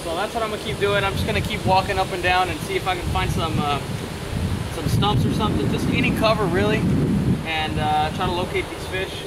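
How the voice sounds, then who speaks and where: A man's voice: high-pitched, fairly quick. A young man talking casually to camera while fishing by a river, explaining his baits with plenty of fillers, on a lapel mic. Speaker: fishing vlogger. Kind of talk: casual outdoor fishing vlog. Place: outdoors on a riverbank. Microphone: clip-on lapel mic. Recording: outdoor noise behind.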